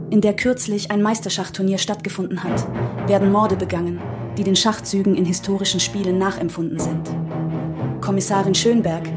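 A woman's voice: medium pitch, a little mellow. A woman reading scripted crime-story material in a dramatic narration style.

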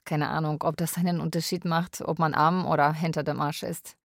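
An actress playing a lonely, wry Polish live-in carer, narrating a radio drama in a deliberate way. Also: female voice, low-pitched, flat delivery, creaky, no echo, studio quality. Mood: wry, frank.

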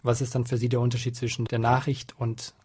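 A man working as a radio interviewer, putting measured questions to his guest. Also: mid-range voice, monotone, darkish tone, husky.

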